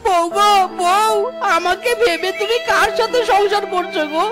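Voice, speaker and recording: An extremely high-pitched cartoon village husband, whiny, wheedling and blustering. The delivery is theatrical and complaining, with music clearly behind it. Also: normal speed. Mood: indignant. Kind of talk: animated folk-tale cartoon acting.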